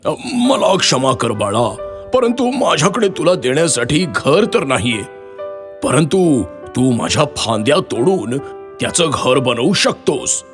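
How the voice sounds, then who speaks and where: A man voicing a kind, selfless old tree in a children's story, speaking gently and pleadingly. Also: medium pitch, sing-song, a little slow. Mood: apologetic, generous. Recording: music behind the voice.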